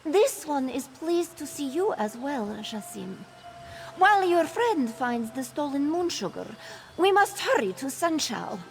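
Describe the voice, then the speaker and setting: Woman as high-voiced, proud cat-folk woman. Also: sing-song, a little hiss or hum, full character voice, low-quality recording. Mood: pleased, brisk. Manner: self-assured, brisk, refers to herself in third person. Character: proud, fiery cat-folk woman smuggler-hunter, confident. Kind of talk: video game character dialogue, AI-voiced.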